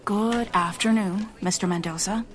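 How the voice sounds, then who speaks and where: An actress playing a kindly, flirtatious, busy nurse in a radio drama, chatty and soothing. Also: female voice, mid-range voice, normal speed, crowd noise, close to an everyday voice. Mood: chatty, harried.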